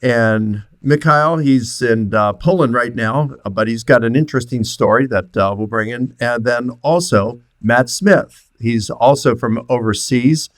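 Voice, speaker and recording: A man works as host of a relaxed interview, speaking as a professor to his guests. His voice sits at a middle pitch and is recorded close and dry. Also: a little slow, hardly any pauses, no echo. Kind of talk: casual interview introduction.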